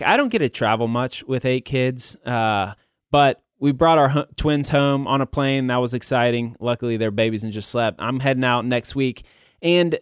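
A man's voice: medium pitch, a bit bright, smooth, no echo, clean studio sound. A man hosting a podcast in a casual, conversational way, talking informally to other guys like buddies. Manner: informal, buddy-like address to male listeners. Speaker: podcast host.

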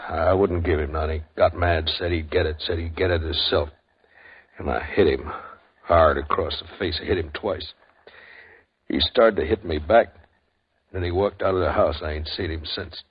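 Old ex-sheriff, father, very low voice, husky, drawling, muffled old radio sound. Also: fairly even intonation, normal speed. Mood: troubled. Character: aging former sheriff, guilt-ridden father. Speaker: former sheriff. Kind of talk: 1950s radio drama acting. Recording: dull old radio broadcast.

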